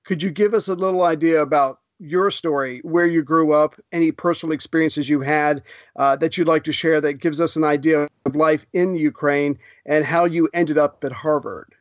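A man co-hosting a podcast who asks a polite question to the guest, filling pauses with "uh". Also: on the high side, steady tone, no echo, lo-fi sound. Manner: polite, many uh fillers. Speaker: podcast co-host. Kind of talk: casual interview question.

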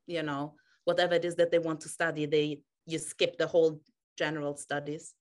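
A woman's voice: low-pitched, flat delivery, a little fast. A woman raised in Austria whose first language is German, chatting as a podcast guest about her life, laughing often. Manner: warm storytelling with frequent laughs. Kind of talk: casual interview chat.

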